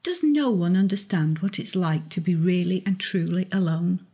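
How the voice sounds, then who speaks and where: A lonely teenage girl in a young adult story, voiced by a woman reader. She is anxious and plaintive, hesitating and stammering as she questions herself, with a slightly husky edge to the voice. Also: fairly low pitch, normal speed, mellow. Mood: lonely, aggrieved.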